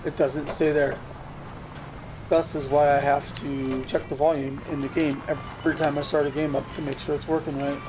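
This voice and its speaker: A man talks loosely through his gameplay, circling back and restarting his sentences as he goes. His voice sits a little high for a man. He is recorded at home on a USB desk mic, and the game audio is clearly audible under him. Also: little pitch movement, normal speed. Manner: rambling, thinks aloud with restarts. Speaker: gaming channel host. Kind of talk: casual gameplay commentary. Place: home computer setup. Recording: noisy game sound behind voice. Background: truck game sounds.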